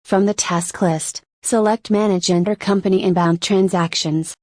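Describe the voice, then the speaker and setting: A woman's voice: medium pitch, fairly even intonation, moderate pace, a little mellow, no room sound. Woman narrating a tutorial, very dry recording. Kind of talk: scripted instructional narration.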